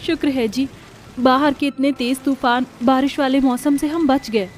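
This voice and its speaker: Woman acting poor village wife, high voice. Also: steady tone, moderate pace, a little hiss or hum, natural voice. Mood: resourceful, relieved. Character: poor, anxious but practical village wife. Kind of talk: dramatized storybook dialogue.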